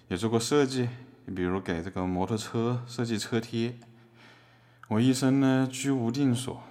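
Man as rambling drifter artist, lowish voice, deadpan, self-mocking, casual courtroom radio play. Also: lightly acted. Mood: casual, matter-of-fact. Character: rambling, wry male drifter artist as defendant. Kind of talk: casual scripted monologue in audio drama.

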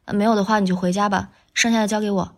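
Woman playing an oily, breathy seductress. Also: mid-range voice, flat delivery, racing, natural voice. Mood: teasing, flirtatious. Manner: oily, cloyingly intimate. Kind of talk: exaggerated audio drama romance acting.

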